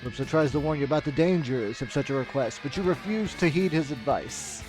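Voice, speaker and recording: A man's voice: on the high side, brisk, over music, natural voice, low-quality recording. A man reading out melodramatic video game ending text as a storyteller. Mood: grave storytelling.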